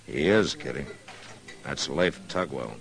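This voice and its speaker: A very low-pitched, authoritative American lawman of middle age. He speaks calmly and firmly, in the manner of an old-time radio western. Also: measured pace. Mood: wry, concerned. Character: calm, authoritative frontier marshal.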